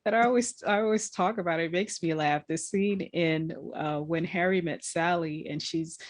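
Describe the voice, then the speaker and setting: A woman in her fifties hosting a podcast interview, telling an anecdote with animated quoted lines and frequent laughter. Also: lowish voice, moderate pace. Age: 50s. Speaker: podcast host. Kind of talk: casual interview chat.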